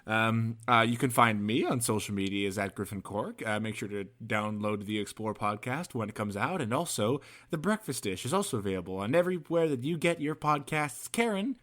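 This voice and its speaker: A man's voice: medium pitch, expressive, brisk, hardly any pauses, fairly bright tone. A man co-hosting a podcast in a casual, playful and joking manner. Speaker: podcast co-host.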